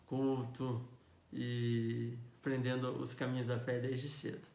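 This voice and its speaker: A Brazilian man in his fifties, a pastor preaching a sermon earnestly, reading scripture with emphasis and slowing down on key words. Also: medium pitch. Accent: Brazilian Portuguese. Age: 50s. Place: church sanctuary. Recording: echoey church hall.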